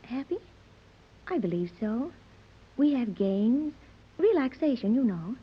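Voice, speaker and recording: A woman's voice: on the high side, very animated, unhurried, breathy edge, lo-fi sound, exaggerated voice. A woman playing an earnest, precocious fifteen-year-old girl who speaks in formal, bookish phrases, in 1930s radio serial style. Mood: earnest, matter-of-fact. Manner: formal, bookish, precise wording.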